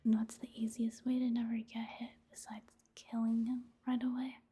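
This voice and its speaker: A woman speaking in a soft, breathy near-whisper, giving gentle, rambling ASMR commentary on a video game. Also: on the high side, level tone. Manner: unhurried, rambling, gentle murmur. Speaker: ASMR gaming video host.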